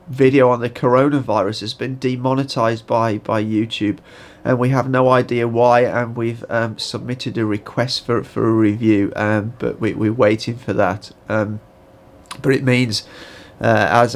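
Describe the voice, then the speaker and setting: A man from the United Kingdom talks through a daily update with a croaky, stuffy-nosed voice, the tone gravelly and low in fry. He hesitates a lot, with fillers and restarts as he checks his notes. His pitch sits in a middle range. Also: slight background noise. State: croaky voice and blocked nose. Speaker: channel host giving daily news update. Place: home in southern China.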